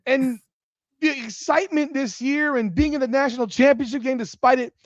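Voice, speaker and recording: A man in his forties hosting a casual sports podcast, animated and swearing freely as he rambles like a fan. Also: very high voice, normal speed, no echo. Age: 40s. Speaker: podcast host. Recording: dull dry lo-fi sound.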